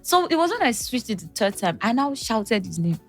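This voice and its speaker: A woman's voice: on the high side, brisk, faint music behind. A young woman, a podcast guest telling personal stories emphatically. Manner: expressive, emphatic storyteller. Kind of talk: casual chat.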